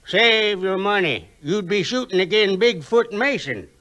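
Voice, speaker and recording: An actor playing an excitable small-town frontier shooting-match judge in a 1950s record drama. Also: male voice, high voice, unhurried, exaggerated voice. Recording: noisy, crackly 1950s vinyl record.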